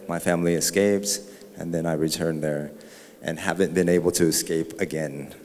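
A man's voice: sits low, steady tone, moderate pace, darkish tone. A man born in Vietnam, speaking thoughtfully and with some hesitation into a handheld mic at a panel talk. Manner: thoughtful, hesitant, jokes with the audience. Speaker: artist panelist. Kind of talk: panel discussion. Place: gallery room with a live audience. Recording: reverberant gallery hall.

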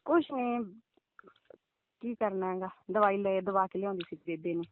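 A woman's voice: medium pitch, normal speed, slight background noise. A woman chatting casually and intimately on the phone, politely deferential. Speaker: phone call partner. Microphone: phone call. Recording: thin lo-fi phone line.

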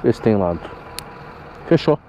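A man's voice: sing-song, normal speed. A young man from Brazil, joking and rowdy as he rides a motorcycle through city traffic. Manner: joking, rowdy, swings pitch a lot. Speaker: motorcycle vlogger. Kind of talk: casual riding commentary. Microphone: helmet-mounted camera mic. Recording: dull lo-fi helmet cam with loud traffic noise. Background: traffic and engine noise.

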